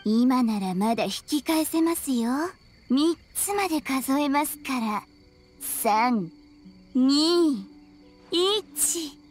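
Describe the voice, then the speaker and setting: A woman's voice: sits high, lively intonation, drawn-out words, soft background music, exaggerated voice. A woman voicing a cryptic young shrine maiden in a video game, polite, mysterious and playfully ominous. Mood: ominous, teasing. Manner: polite, mysterious, theatrically foreboding. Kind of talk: Japanese video game voice acting.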